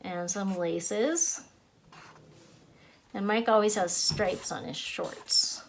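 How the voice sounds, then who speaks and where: A woman's voice: mid-range voice, extremely slow, slight background noise. A woman giving a step-by-step drawing lesson as an author and illustrator, narrating simply as she draws. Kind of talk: slow step-by-step drawing demonstration.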